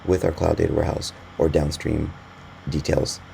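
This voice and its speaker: A man narrating an instructional software screencast in a measured, step-by-step explanatory way. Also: extremely low pitch, little pitch movement, gravelly. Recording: voice-over with faint background noise.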